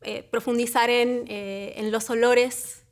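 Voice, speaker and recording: A woman from Argentina with an Argentine accent, speaking as an academic researcher in a measured, reflective conversation with frequent pauses. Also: a little high, level tone. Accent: Argentine Spanish.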